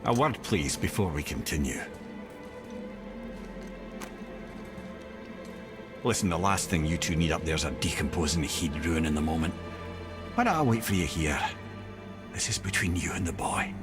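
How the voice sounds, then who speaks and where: An actor playing the witty talking head of a wise old storyteller in a video game, eloquent and theatrical with wry asides. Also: male voice, very deep voice, lively intonation, fairly quick, over music. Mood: wry, conversational.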